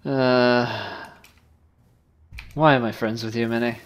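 A young man hosts a gameplay session at an unhurried, slow pace. His voice sits at a middling pitch, and he banters casually with a playfully exasperated manner. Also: steady tone. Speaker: gaming video host.

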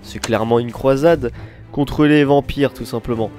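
A man's voice: medium pitch, steady tone, moderate pace, darkish tone, faint music behind. A man with a French accent doing casual gaming commentary and in-character roleplay. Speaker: YouTuber player and narrator.

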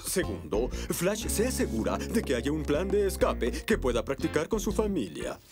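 A man's voice: a little high, wildly swooping pitch, moderate pace, over music. A man playing a jovial, self-assured cartoon fire chief who instructs children in a showy way. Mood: proud presenting. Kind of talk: animated cartoon acting, safety lecture.

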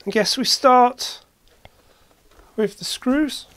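High-voiced woman talking through a repair. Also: no room sound. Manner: halting, thinking aloud in short phrases. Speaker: hobbyist repair video maker. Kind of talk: casual hands-on demonstration narration. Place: indoor workshop at a workbench.

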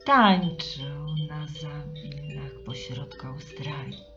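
A woman with a lowish voice tells a children's story slowly and expressively. Her delivery is dramatic and rhythmic, with clear music behind her. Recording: slightly muffled, with music behind.

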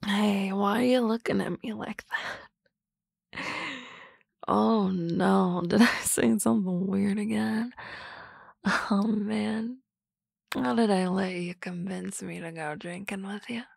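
A woman's voice: medium pitch, steady tone, slow, creaky, pauses often, darkish tone, breathy edge, dry recording, clean studio sound, full character voice. A woman voicing a drunk young female supervisor in an ASMR roleplay, rambling and often dropping to a whisper. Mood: flustered. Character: tipsy young woman boss, flustered, shy crush. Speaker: voice actress.

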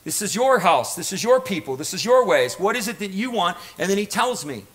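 A man's voice: high-pitched, sing-song, fairly quick, fairly bright tone. A middle-aged man preaching an impassioned sermon, emphatic and repetitive, prodding listeners with rhetorical questions. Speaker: pastor. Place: church stage.

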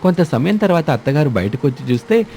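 A man's voice: on the high side, sing-song, fast talker, gravelly, mellow, a little hiss or hum. A man narrating a scripted story as its storyteller.

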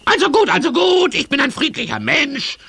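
A man's voice: extremely high pitch, huge pitch swings, normal speed, sharp tone, exaggerated voice. An actor playing a grumpy, sly villain in a children's audio drama, whining and stammering his excuses. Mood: grudging. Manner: whiny, stammering, exaggerated.

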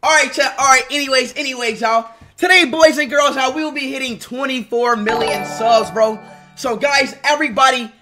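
A young man livestreaming from his bedroom on a headset mic, loud and excitable, often yelling at his chat. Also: very high voice, moderate pace, soft background music. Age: young adult.

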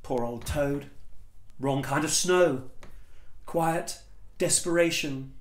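A man in his fifties with an English accent performing spoken-word poetry in a theatrical, emphatic way with deliberate pauses, recorded in a living room. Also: a little high. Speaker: performance poet. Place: small living room, indoors. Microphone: camera or phone a short distance away. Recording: echoey room sound.

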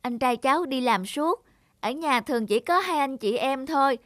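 A woman's voice: high-pitched, expressive, normal speed, fairly bright tone, no room sound, clean studio sound. An actress playing a kind, caring young girl in a cartoon, speaking politely and earnestly. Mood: concerned, kind. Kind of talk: animated moral tale voice acting.

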